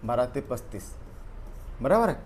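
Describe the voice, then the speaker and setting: A man's voice: medium pitch, sing-song, moderate pace, a little hiss or hum. A man teaching an exam review class, repeating answers and quizzing his students. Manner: drills answers through repetition and questions.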